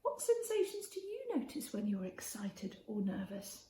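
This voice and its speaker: A woman reading a children's story aloud in an expressive way, speaking directly to young listeners and prompting them. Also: mid-range voice, normal speed. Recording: roomy echo with faint background noise.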